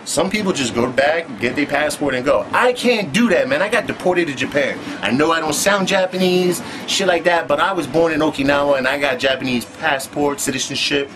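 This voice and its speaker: A man's voice: a little high, fairly quick, hardly any pauses, background noise. A man telling his own story in casual, profane street slang, with "man" tossed in constantly. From United States.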